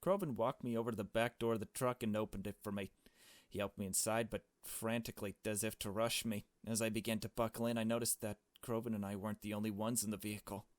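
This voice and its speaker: Casting: male let's play reader, lower-register voice, storytelling delivery with offhand reactions, very dry sound. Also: fairly even intonation, quick pace, dry recording. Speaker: gamer reading a visual novel aloud.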